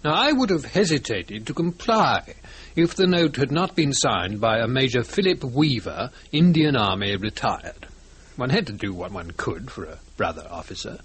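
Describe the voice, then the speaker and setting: A man's voice: mid-range voice, very animated, moderate pace, husky. A man playing a genteel retired colonel who chairs and narrates in an old-time radio drama, formal, precise and polite. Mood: reflective, faintly disapproving. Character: genteel, fussy retired British colonel, society president.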